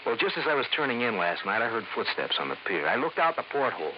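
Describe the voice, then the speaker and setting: An actor playing a slippery, defensive blackmailer in an old radio drama, insistent and brusque. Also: male voice, medium pitch, big pitch swings, fast talker, lo-fi sound, natural voice. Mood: insistent, scheming. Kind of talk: mid-century American radio drama acting. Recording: noisy, band-limited old radio recording.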